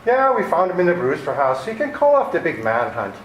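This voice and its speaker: Blustering radio-drama police lieutenant, male actor, incredulous, wildly swooping pitch, echoey room. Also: huge pitch swings, fairly quick. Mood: bossy. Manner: brash, incredulous. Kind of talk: amateur radio play acting. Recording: echoey room with faint noise.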